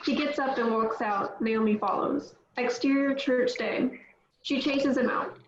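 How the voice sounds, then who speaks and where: A woman's voice: fairly high pitch, steady tone, a little slow. A woman reading screenplay stage directions as scripted narration. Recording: roomy, dull lo-fi, faint noise.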